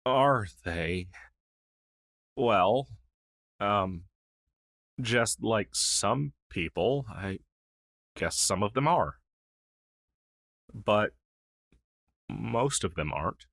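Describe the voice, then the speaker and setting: A man playing a gentle, wistful old crow familiar in an ASMR roleplay, speaking hesitantly and softly with sighs. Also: fairly low pitch, animated, gravelly, no echo, clean studio sound, heavily acted. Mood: earnest. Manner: hesitant, halting, soft-spoken with sighs.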